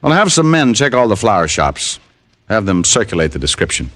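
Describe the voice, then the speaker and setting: A man's voice: fairly low pitch, very animated, brisk. A man acting a laconic, world-weary American private detective in a 1950s radio drama. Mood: businesslike. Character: laconic hardboiled male private detective narrating his case.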